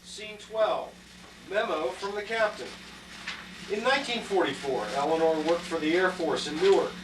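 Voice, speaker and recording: A woman's voice: fairly low pitch, normal speed. Echoey, distant woman announcing scenes. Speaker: play narrator. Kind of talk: scripted narration, scene announcements. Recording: echoey room, distant mic, clear background noise.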